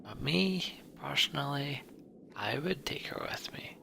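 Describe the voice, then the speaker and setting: A man hosting a game playthrough, speaking in a hushed voice as he comments and narrates the story text. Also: medium pitch, expressive, measured pace, gravelly, background noise. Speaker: let's play host. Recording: game music behind the voice.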